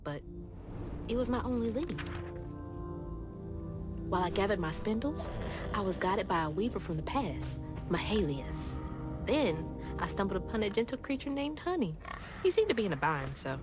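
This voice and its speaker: An actress playing a determined, tender young woman from a video game, with an expressive, colloquial delivery. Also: medium pitch, moderate pace, pauses often, over music, close to an everyday voice. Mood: reflective narration. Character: Hazel, determined young woman searching for her mother, tender.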